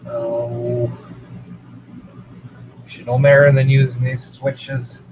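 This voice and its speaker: Low-key man musing, dull noisy recording. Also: flat delivery, slow. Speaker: radio hobbyist demonstrating receivers. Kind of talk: casual, off-the-cuff equipment demo. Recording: dull lo-fi sound with radio noise. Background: shortwave radio static.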